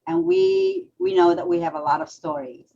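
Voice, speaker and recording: Woman co-host with a gravelly voice. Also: on the low side, moderate pace. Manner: lively, laughing, restarts phrases. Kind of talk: casual talk show hosting. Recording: slightly dull lo-fi audio with faint background noise.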